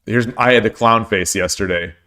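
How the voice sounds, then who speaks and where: A man with a hoarse voice streaming shogi, talking casually and thinking aloud as he looks over his games, often repeating his phrases. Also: lowish voice, moderate pace, bright, forceful tone. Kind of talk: casual game commentary.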